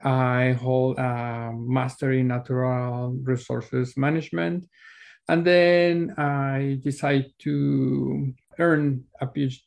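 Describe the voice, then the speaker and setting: Creaky-voiced Mexican man in an interview. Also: medium pitch, darkish tone. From Mexico City, Mexico. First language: Spanish. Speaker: interview guest, PhD student. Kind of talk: casual interview answers.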